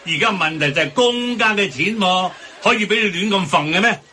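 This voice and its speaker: A very sharp-voiced grumbling boss. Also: male voice, sits high, a little fast. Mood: scolding. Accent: Hong Kong Cantonese. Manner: scolding, sarcastic. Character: grumbling, penny-pinching male restaurant boss. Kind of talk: Cantonese radio drama acting.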